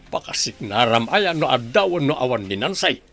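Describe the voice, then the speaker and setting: A man's voice: mid-range voice, sing-song, a little fast, fairly bright tone, soft background music. An actor playing an indignant, defensive village man in an Ilocano Filipino radio comedy drama.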